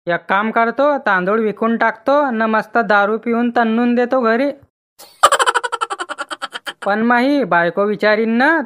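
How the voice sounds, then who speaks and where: A man's voice: very high voice, a bit bright, dull audio. A grumbling village husband out of a comedy cartoon, acted by a man. He speaks colloquially in a rural Maharashtrian accent, always ready to argue. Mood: scheming, undecided. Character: grumbling, argumentative village husband with a drinking habit. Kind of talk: comic cartoon dialogue.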